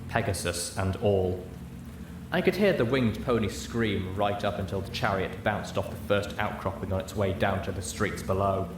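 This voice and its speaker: A man narrates a story in a fairly low voice, reading expressively from a script. Clear noise sits behind his words. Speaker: audiobook reader and radio-style host.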